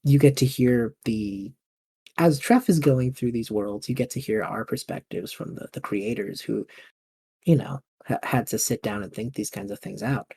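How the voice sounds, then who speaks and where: A man's voice: medium pitch, normal speed, creaky, warm tone, breathy edge, no room sound. A man hosting a casual interview, talking in an enthusiastic and thoughtful manner. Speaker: host and audio fiction creator.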